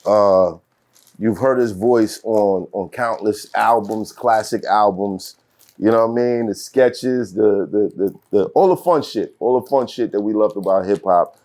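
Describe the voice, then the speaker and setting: A man hosting a casual hip hop podcast, loose and joking, heavy on slang and quick to laugh. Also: fairly low pitch, darkish tone. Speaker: podcast host.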